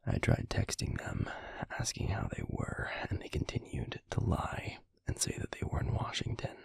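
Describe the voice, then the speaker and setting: A man playing a grave, protective werewolf pack alpha in an audio drama, with a husky, gravelly voice and measured, formal speech. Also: no room sound, studio quality, exaggerated voice. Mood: worried, subdued. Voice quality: gravelly, husky, breathy low voice. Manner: measured, deliberate, formal, hushed. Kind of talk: audio roleplay drama acting.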